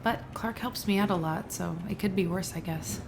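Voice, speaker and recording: A woman's voice: lowish voice, moderate pace, gravelly, background noise. A woman playing a conflicted, tired neuroscientist mother in a naturalistic audio drama, speaking in a hesitant, conversational way. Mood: weary, uncertain. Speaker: voice actor in a musical audio drama.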